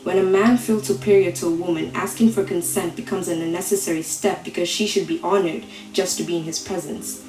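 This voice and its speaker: Echoey, low-pitched woman reciting slam poetry. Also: steady tone, darkish tone. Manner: deliberate, rhetorical delivery with dramatic pauses. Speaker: slam poet. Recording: roomy echo, faint music behind.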